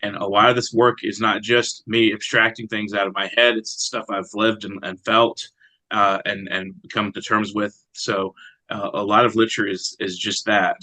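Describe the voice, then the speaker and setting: A man speaking as an interviewed poet, in a reflective, halting conversational way. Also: lowish voice, level tone, bright, forceful tone, dry recording, poor audio. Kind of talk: conversational interview with brief poem reading.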